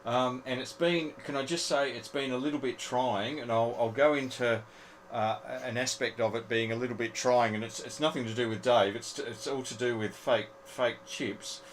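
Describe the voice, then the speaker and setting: A man's voice: medium pitch, steady tone, normal speed. Aussie guy doing a casual techy club demo, repeats himself, bit of noise behind him. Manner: repeats words and phrases. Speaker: host of an amateur radio club presentation. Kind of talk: informal live technical show-and-tell.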